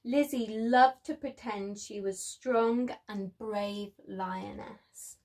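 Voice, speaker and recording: A woman's voice: medium pitch, slow pace, a little mellow. A woman presenting a preschool story time, speaking in an encouraging way to small children.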